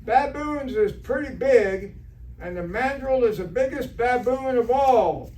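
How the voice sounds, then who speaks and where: A man's voice: very high voice, expressive, measured pace, a little mellow. A man playing an earnest, naive, fussy biology teacher in an amateur stage re-creation of a radio comedy. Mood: fussy, disapproving. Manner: fussy, earnest, literal-minded. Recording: distant stage recording, faint noise.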